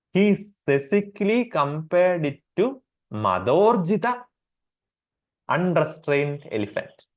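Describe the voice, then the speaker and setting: A man with an Indian accent teaching a class on Sanskrit verses, repeating each term slowly with many fillers. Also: fairly high pitch, lo-fi sound. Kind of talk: explanatory class lecture.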